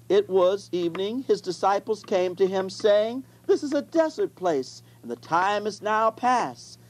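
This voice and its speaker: A reader delivering scripture in a deliberate manner, halting at times. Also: medium pitch, a little mellow. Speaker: Bible reader. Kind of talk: scripture reading.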